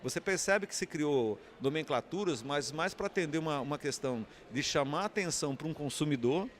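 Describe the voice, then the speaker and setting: Man, Brazilian Portuguese accent, fairly high voice, interview answer, explanatory, rhetorical questions. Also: lively intonation, brisk, slight background noise. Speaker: interviewee, communications academic.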